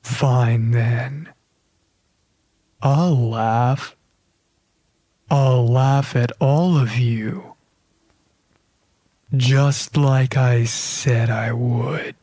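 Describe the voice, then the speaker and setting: A man's voice: mid-range voice, fairly even intonation, very slow, pauses often, mellow, slightly rough, no room sound. A man playing an unhinged, gloating, menacing character in a darkly comedic audio drama.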